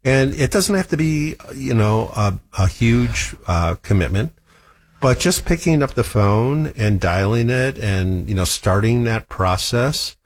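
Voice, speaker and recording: A man's voice: mid-range voice, animated, unhurried. A man hosting a finance radio show, encouraging listeners and urging them to call in. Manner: encouraging, salesy call to action. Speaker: host. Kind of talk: casual radio chat.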